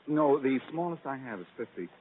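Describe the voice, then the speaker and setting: An actor playing a dry-witted husband in a 1940s radio drama, speaking wryly and deadpan. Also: male voice, mid-range voice, fairly quick, slight background noise, close to an everyday voice. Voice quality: wry, deadpan. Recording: tinny old radio broadcast.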